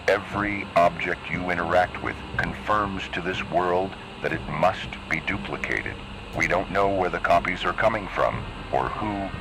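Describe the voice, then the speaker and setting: A man voicing a grave narrator of eerie analog horror warnings, speaking in an ominous, measured and deliberate way over a noisy tape-style recording. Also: exaggerated voice. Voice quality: very deep, gravelly.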